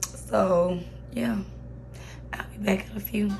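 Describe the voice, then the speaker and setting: A young woman vlogging casually with a hoarse, raspy voice, talking slowly and quietly with many pauses and fillers. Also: medium pitch, steady tone, poor audio. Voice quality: raspy, worn-out voice. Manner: slow, subdued talk with many fillers. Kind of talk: casual vlog chat.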